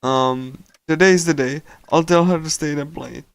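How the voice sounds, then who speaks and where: Man, streamer, fairly high pitch, hesitant delivery, casual translating read-aloud, dry recording. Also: creaky, clean tone, no echo. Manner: hesitant, halting, with small chuckles. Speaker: streamer reading a visual novel aloud.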